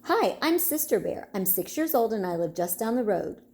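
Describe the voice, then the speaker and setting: A woman's voice: mid-range voice, sing-song, moderate pace, darkish tone. A woman voicing an eager, easily indignant six-year-old girl bear cub in a children's storybook.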